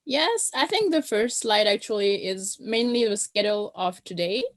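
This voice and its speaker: French-native woman from Madagascar, guest presenter, mid pitch, orderly delivery. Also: lively intonation, normal speed. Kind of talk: presenting slides on a video call.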